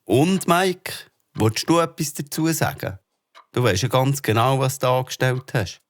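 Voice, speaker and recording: A man's voice: medium pitch, lively intonation, a little slow, lightly acted. An actor playing a kind but worried father, speaking earnestly with a Swiss German accent. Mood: stern. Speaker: father character. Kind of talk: children's audio drama acting.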